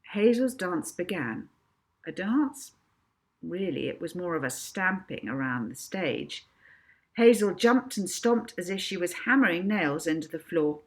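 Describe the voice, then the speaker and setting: A woman reading a children's book aloud as an expressive narrator. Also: on the low side. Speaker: author reading own book.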